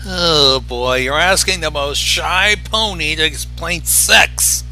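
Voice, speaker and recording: A man's voice: on the high side, slow, pushed and bright, faint music behind. A man giving casual, blunt reaction commentary to a story, swearing freely.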